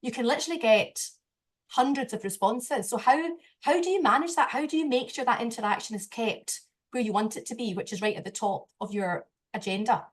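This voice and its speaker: A woman's voice: fairly high pitch, a little fast. A woman hosting a conversational interview, talking in an agreeable, discursive way. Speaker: interviewer and recruiter.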